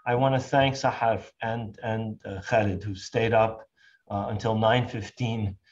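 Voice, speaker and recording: An older man moderates an online panel, speaking in a measured way with frequent ums. His voice sits low. The audio is dull and lo-fi, like a video call. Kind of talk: webinar discussion.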